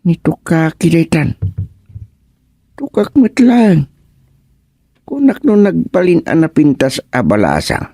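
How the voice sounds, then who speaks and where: A man acting a grumbling villager turned frog in a comedic radio drama, halting and punctuated with croaks. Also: fairly high pitch, big pitch swings, mellow, dry recording, studio quality, heavily acted. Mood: bewildered, agitated.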